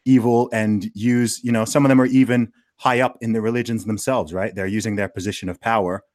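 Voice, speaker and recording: A man's voice: fairly low pitch, fairly even intonation, fairly quick, darkish tone, no room sound, studio quality. A man in casual conversation, talking earnestly with many restarts, who grew up in Saudi Arabia.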